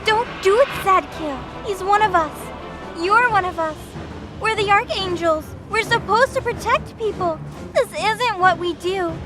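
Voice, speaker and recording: Woman playing a very high-voiced earnest angel. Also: expressive, unhurried. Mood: pleading. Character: exasperated, earnest young female archangel gunslinger. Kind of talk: scripted audio drama acting. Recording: loud music under the voice.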